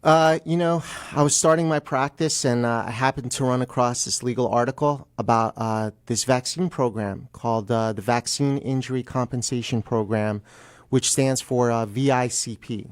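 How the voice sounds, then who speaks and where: An American man from New Jersey giving a casual interview as a guest, pausing often with ums and uhs. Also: medium pitch, dry recording, studio quality. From New Jersey, United States. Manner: hesitant, frequent ums and uhs. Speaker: guest attorney.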